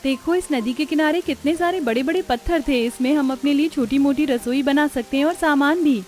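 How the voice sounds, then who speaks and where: A woman's voice: high voice, brisk, darkish tone, voice pushed up, close to an everyday voice. A woman voicing a resourceful, earnest young village daughter-in-law in a cartoon story. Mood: practical. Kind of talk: acted dialogue in a Hindi cartoon story.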